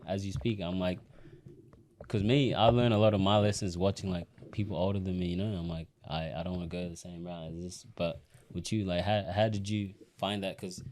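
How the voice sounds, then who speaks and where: A man's voice: low voice, steady tone, fairly quick, darkish tone. A young man of Sudanese background hosting a podcast, asking rambling, stumbling questions full of fillers. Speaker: podcast host. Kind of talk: casual interview.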